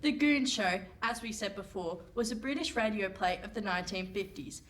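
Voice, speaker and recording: A woman's voice: mid-range voice, expressive, moderate pace, lightly acted, low-quality recording. A woman playing an earnest, put-upon narrator in a comic radio play, prim and matter-of-fact.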